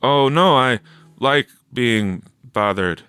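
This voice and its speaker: A man voicing a nervous seventh-grade boy in a story, halting and mumbling as he tries to bluff. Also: medium pitch, lively intonation, pushed and bright, clear, resonant tone, no echo, studio quality, pitched above the performer's own voice. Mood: sheepish. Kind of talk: audiobook character voice.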